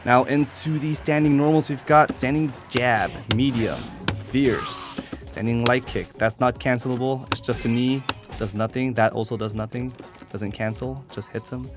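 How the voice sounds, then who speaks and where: A man giving a casual, informal video game tutorial, explaining things step by step with blunt asides. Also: mid-range voice. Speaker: competitive gamer presenting a character guide. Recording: voice over loud game music. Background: video game music.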